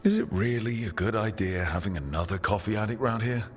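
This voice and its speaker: A man as a low-voiced, easygoing hero, music behind. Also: a little fast, lightly acted. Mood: lightly teasing. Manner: casual, reassuring, lightly teasing. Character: easygoing, supportive adventurer hero with dry humour. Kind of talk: video game dialogue acting.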